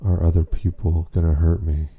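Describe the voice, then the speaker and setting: Very deep, dark-voiced man guiding meditation. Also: level tone, breathy edge. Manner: unhurried, gently questioning, with long pauses. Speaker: meditation guide. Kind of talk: slow spoken guided meditation.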